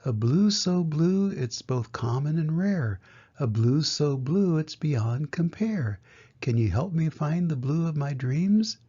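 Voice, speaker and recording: A man's voice: on the high side, expressive, slow pace, mellow, slightly rough, full character voice. A man voicing a dreamy, earnest little boy from a storybook, with wistful, rhythmic pleading. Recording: dull lo-fi home recording.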